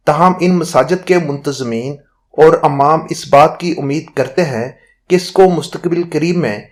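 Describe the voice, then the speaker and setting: A man narrating a scripted news report in a formal, measured manner. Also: fairly high pitch.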